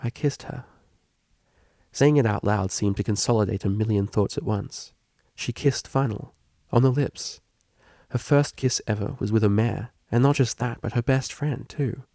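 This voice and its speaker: A man's voice: fairly low pitch, normal speed, warm tone, no echo, clean studio sound. A man reading scripted story narration as an audiobook narrator.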